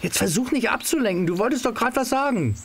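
Male actor as insistent teen boy for radio play, high animated voice, casual, over faint insect ambience. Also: fast, slight background noise. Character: eager, insistent teenage boy.

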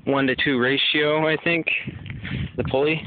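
Guy casually showing how something works, kinda halting, thinking out loud, dull noisy handheld audio outside. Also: on the high side, fairly even intonation. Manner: hesitant, halting, thinking aloud. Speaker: survival hobbyist demonstrating knots. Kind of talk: casual unscripted demonstration. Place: outdoors under trees at picnic table. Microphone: handheld camera held by the speaker. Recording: noisy outdoor handheld camera, clicks and rustle.

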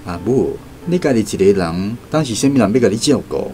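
An actor playing a dutiful, patient grown son in a radio drama, coaxing and explaining with a Taiwanese accent. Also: male voice, on the low side, big pitch swings, fairly quick, darkish tone, soft background music. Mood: coaxing, concerned.

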